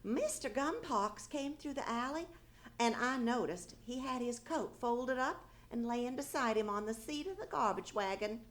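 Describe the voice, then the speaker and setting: A woman's voice: a little high, animated, moderate pace, close to an everyday voice. A woman playing a fussy, nagging small-town housewife and mother, folksy and exasperated, in an old-time radio comedy. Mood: gossipy, curious. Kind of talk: 1930s radio comedy acting on stage.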